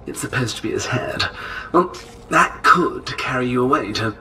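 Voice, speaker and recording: An actor playing an excitable, scatterbrained, chatty eccentric man in a video game, hesitant and then bursting with enthusiasm. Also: medium pitch, normal speed, recorded from a distance, a little hiss or hum. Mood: excited. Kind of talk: video game character acting.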